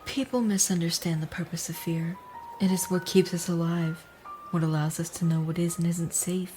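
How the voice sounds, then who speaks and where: A woman playing a stern, aloof, menacing wanderer in an audio drama, speaking in a formal, measured way. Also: on the low side, steady tone, warm tone, faint music behind, natural voice. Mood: cold, superior.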